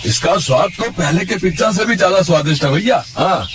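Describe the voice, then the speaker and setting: A man's voice: sits high, lively intonation, a little fast, music in the background. A man voicing an enthusiastic poor village customer in a moral storybook tale. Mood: delighted.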